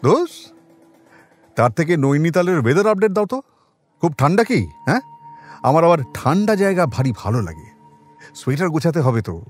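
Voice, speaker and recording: A man's voice: fairly high pitch, sing-song, a little fast, long pauses, soft background music. A man playing a seasoned detective near retirement in an audio drama, wry, humane and musing in a conversational way. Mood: relieved, breezy. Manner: musing, conversational, gently ironic. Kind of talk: Bengali audio drama acting.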